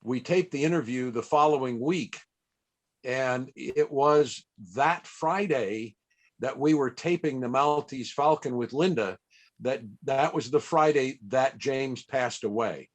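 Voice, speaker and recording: A man speaks as a host on a casual video call, at a middling pitch. He reminisces in a chatty, folksy manner, often pausing as he pieces a story together. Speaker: interviewer and radio event host.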